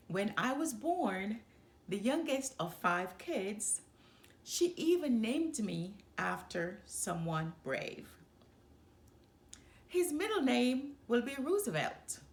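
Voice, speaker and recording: Woman, children's read-aloud, expressive storyteller, very varied intonation, deliberate phrasing, echoey room. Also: a little high. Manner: deliberate, short phrases with pauses for emphasis. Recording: roomy, echoing room sound.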